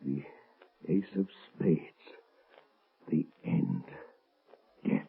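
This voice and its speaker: An actor playing a frightened, scheming husband, halting and melodramatic, in a 1930s radio drama. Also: male voice. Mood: panicked. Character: frightened, guilty scheming husband cornered by his past. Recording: muffled, tinny old radio recording.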